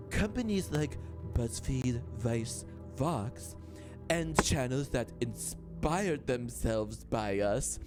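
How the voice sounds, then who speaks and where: A man giving a theatrical, pompous dramatic reading of a written statement. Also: mid-range voice. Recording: played-back video with loud music. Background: music.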